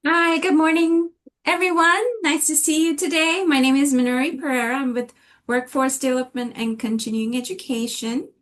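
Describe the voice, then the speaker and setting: A woman's voice: sits high, fairly even intonation, moderate pace, fairly bright tone. A woman from Sri Lanka introducing herself cheerfully and playfully on a video call. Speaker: panelist.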